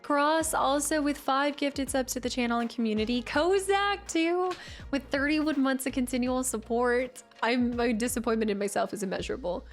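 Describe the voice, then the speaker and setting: A woman's voice: high-pitched, moderate pace. A young woman streamer chatting casually with her viewers, laughing and teasing herself as she reads the chat aloud. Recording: faint music behind the voice.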